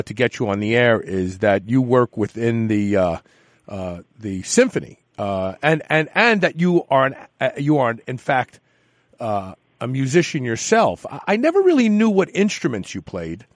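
A man from New York hosting a radio interview, asking halting questions full of restarts. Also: medium pitch, no echo. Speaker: radio host. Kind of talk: casual interview.

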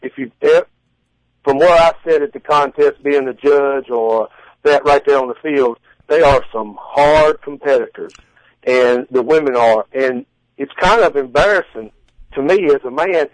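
A man in his forties speaking as an interview guest, telling stories casually in halting, folksy phrasing. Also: medium pitch, dry recording, rough recording. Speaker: guest, strongman competitor and contest judge. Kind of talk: casual interview answers and storytelling.

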